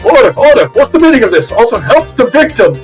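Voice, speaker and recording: A blustering courtroom judge from a video game, as a streamer acts him out, exclaiming in a raised pitch. He is agitated and easily flustered, with music playing behind. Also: sing-song, fast, close to an everyday voice. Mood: alarmed.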